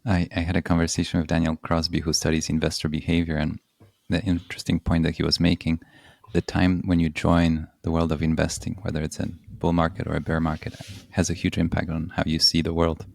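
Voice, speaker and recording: A very deep, gravelly male voice with a Polish accent, hosting an interview podcast. He talks in a flat, even line and asks open questions at an unhurried pace. The sound is dry and close. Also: dry recording. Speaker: podcast host.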